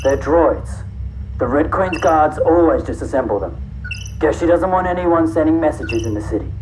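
Man playing a high-pitched, offhand sci-fi guard. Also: lively intonation, normal speed, a little mellow, a little hiss or hum, natural voice, rough recording. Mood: offhand, matter-of-fact. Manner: offhand, slangy, commanding. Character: brusque, casual sci-fi guard, world-weary male. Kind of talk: audio drama acting.

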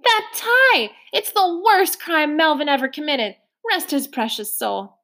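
A woman voicing a large, loud, warm-hearted older foster mother with a hearty, booming manner. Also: expressive, unhurried, exaggerated voice. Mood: exclaiming.

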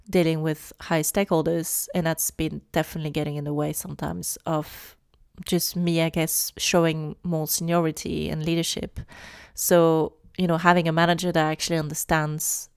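Woman, low and smooth. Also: no echo, studio quality. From France. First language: French. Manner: earnest, emphatic, repeats starts. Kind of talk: casual discussion.

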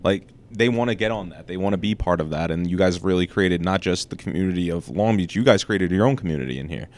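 A man's voice: low voice, fast talker, darkish tone. A man hosting a local podcast, asking questions and praising his guests. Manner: affirming, encouraging, lots of "like". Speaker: podcast host. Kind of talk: interview hosting.

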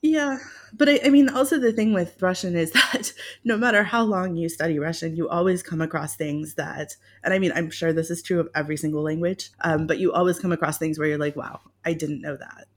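A woman's voice: on the low side, fairly even intonation, a little fast. A woman talking about her work as a professor in a casual, self-deprecating way, laughing now and then. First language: English. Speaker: guest, Russian literature professor. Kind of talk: conversational interview.

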